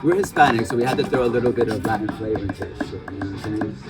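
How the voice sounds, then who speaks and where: A man's voice: lowish voice, rapid-fire. A man from Peru, a band member, chatting loosely in an interview outdoors on the steps of a party, with slang and plenty of swearing. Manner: loose, profane, streetwise slang. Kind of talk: casual chat. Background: party music.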